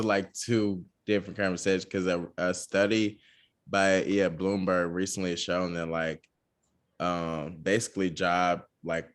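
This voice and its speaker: A young man co-hosting a podcast, talking casually with lots of fillers and a monotone delivery. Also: sits low. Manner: relaxed, many fillers, says he talks monotone. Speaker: podcast co-host.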